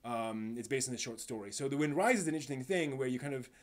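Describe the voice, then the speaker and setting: A man hosting a live stream, giving casual commentary in a halting, thinking-aloud way with emphatic stress on key words. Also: medium pitch.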